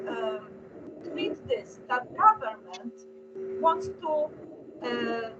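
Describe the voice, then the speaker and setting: High, unhurried Polish-accented woman, muffled and noisy. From Poland. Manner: hesitant, earnest. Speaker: panel speaker. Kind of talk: informal panel talk. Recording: dull lo-fi sound, humming noise.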